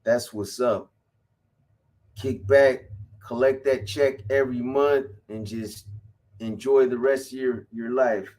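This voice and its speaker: A man's voice: mid-range voice, steady tone, slow pace, a little mellow. A man in his fifties hosting a casual livestream, answering viewer comments in a laid-back, profane, slang-heavy way. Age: 50s.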